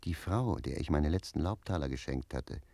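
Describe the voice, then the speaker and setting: An actor playing a talkative, cheeky, stubborn manservant in a German radio play. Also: male voice, very deep voice, expressive, moderate pace, creaky, dark tone, no echo, full character voice. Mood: chatty. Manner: chatty, argumentative.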